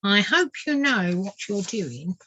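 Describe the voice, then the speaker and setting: A woman voicing a small, sharp-tongued male water vole in a children's storybook, blunt, wary and grumbling. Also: mid-range voice, very animated, takes it slowly, highs cut off, exaggerated voice. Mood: wary, warning.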